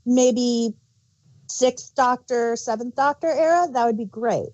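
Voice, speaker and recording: A woman's voice: on the high side, slow, darkish tone. A woman co-hosting a podcast, chatting casually and giving her opinions as a fan, on dry, slightly dull audio. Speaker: podcast co-host.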